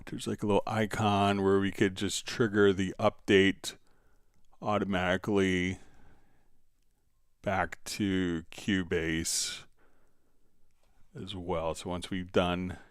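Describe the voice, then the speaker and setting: A man hosting a live software tutorial, thinking aloud in a halting, hesitant manner as he demonstrates. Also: low voice, level tone, clean tone, dry recording, clean studio sound. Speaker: livestream host and software instructor.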